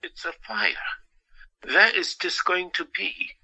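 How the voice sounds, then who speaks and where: Man acting an anxious young asylum patient, high-pitched. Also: animated, slow, bright, forceful tone, husky, full character voice, low-quality recording. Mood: worried. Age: young adult. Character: gentle, tormented young male asylum patient, anxious friend. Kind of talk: audio drama acting.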